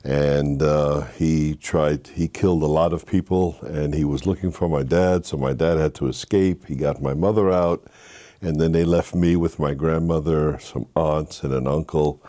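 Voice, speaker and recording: An older man from Haiti, a guest telling his life story with earnest faith, with halting restarts. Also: a bass voice, steady tone, warm tone, no echo. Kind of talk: interview, personal story.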